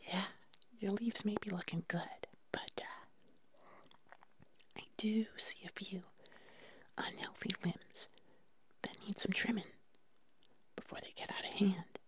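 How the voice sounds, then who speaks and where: A woman doing a gentle, pampering ASMR roleplay, speaking with many pauses. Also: mid-range voice, steady tone. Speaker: ASMR performer. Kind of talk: whispered ASMR personal attention roleplay.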